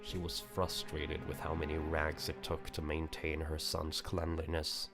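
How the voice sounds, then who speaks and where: A man's voice: extremely low pitch, monotone, unhurried, gravelly. A man reading a visual novel aloud as dramatic story narration. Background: music.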